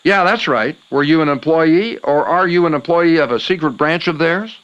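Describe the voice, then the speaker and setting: Man playing a high-pitched, showy radio host. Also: normal speed, fairly bright tone, smooth, lo-fi sound, natural voice. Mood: commanding. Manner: theatrical, folksy slang. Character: folksy, showy late-night paranormal radio call-in host. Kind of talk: acted radio talk show.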